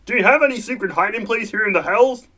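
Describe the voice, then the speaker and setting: A man's voice: high voice, quick pace, sharp tone. A man voicing a harried, irritable frog family man in a comic puppet radio play, earnest and snappy. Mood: urgent.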